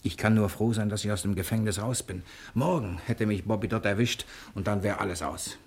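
An actor playing a confident, quick-witted fugitive husband in a 1960 German radio drama, soothing and persuasive in manner. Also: male voice, on the low side, slightly rough. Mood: persuasive, urgent.

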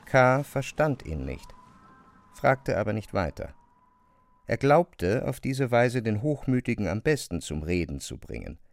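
A man narrating a literary audio drama with measured, precise phrasing. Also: medium pitch, big pitch swings, gravelly, no echo.

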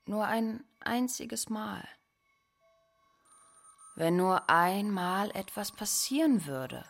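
Husky, restless young daughter. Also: female voice, medium pitch, lively intonation, slow pace, full character voice. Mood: wistful longing. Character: restless, bored young daughter longing for adventure. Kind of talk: radio drama dialogue.

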